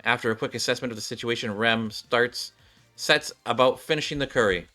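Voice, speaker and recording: Male game streamer, casual, reads script aloud and comments, mid pitch, faint music. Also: a little fast, sharp tone.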